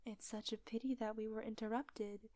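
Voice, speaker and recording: A woman acting a seductive, controlling witch in an intimate roleplay audio drama, with breathy, teasing, commanding delivery. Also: on the high side, steady tone, normal speed, dark tone, dry recording, close to an everyday voice. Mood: smug, controlling.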